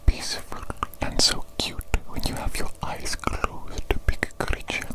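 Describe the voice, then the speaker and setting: A woman speaks entirely in a whisper, slow and soothing. She plays a doting, playful evil doctor in an ASMR medical roleplay, softly repeating little phrases as she goes. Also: rough recording.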